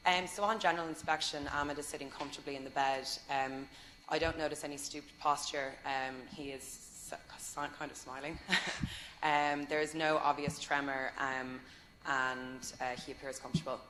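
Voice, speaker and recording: A woman's voice: low-pitched, steady tone, moderate pace, a bit bright, slight background noise, rough recording. A young woman medical student, talking politely and hesitantly through a practice exam with plenty of fillers. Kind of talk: role-play exam demo.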